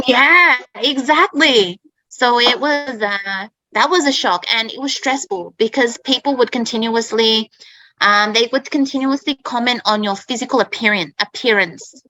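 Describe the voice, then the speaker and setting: A woman's voice: on the high side, a little slow, sharp tone, no echo, low-quality recording. A woman, probably in her thirties, telling a personal story to English learners in a chatty way, often adding "okay?". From Australia. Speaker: speaker in an English-learning talk.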